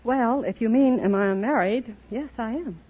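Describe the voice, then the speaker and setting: A woman's voice: fairly high pitch, normal speed, phone-quality sound. A woman from Texas answering a quiz host's questions as a contestant on an old radio show. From Texas, United States. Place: radio studio before an audience. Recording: thin, very noisy old radio recording.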